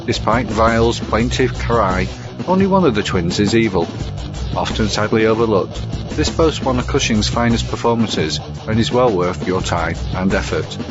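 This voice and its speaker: Brief: male film reviewer, low voice, scripted countdown narration, muffled lo-fi sound with music underneath. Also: expressive, moderate pace. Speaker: reviewer presenting a film segment. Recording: dull lo-fi with music behind.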